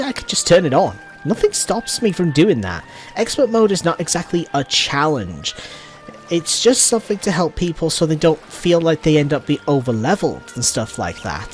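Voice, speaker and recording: A man hosts a casual let's play in a fairly high, very animated voice. He talks through the game as he plays, with music running underneath. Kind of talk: casual gameplay commentary.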